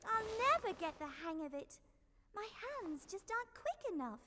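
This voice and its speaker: An actress playing a gentle, wistful young lady in a cartoon. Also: extremely high pitch, sing-song, moderate pace, heavy background noise.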